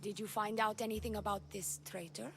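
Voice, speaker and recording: An actress playing a flirtatious, commanding priestess leader in a video game, composed, guarded and authoritative. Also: female voice, mid-range voice, fairly even intonation, fairly quick, a bit bright, natural voice. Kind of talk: video game dialogue acting.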